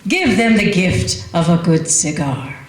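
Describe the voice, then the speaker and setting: A woman's voice: fairly low pitch, big pitch swings, unhurried. A woman playing a persuasive old-time radio commercial announcer selling cigars. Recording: roomy echo.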